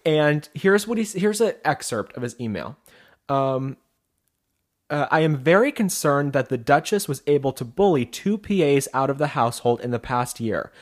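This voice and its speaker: A man's voice: on the high side, normal speed. A man co-hosting a podcast from the Pacific Northwest, chatting animatedly about celebrity gossip with lots of "like" fillers. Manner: animated, many "like" fillers, reads excerpts aloud. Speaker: podcast co-host. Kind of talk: casual celebrity gossip chat.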